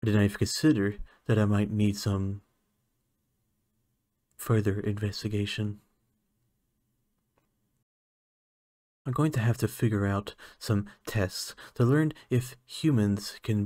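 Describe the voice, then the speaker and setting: Man playing a slow, hesitant alien scientist. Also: fairly low pitch, warm tone, no echo, clean studio sound. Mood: self-critical, resolved. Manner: hesitant, musing, halting. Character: lonely, heartbroken male alien scientist, hesitant and earnest. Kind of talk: ASMR roleplay monologue.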